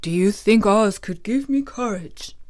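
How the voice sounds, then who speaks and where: A woman voicing a timid, tearful male lion in a storybook reading, her voice raised and louder than her narration. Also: mid-range voice, lively intonation, a little slow, voice pushed up, natural voice. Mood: sad, plaintive. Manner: sorrowful, plaintive storybook voice.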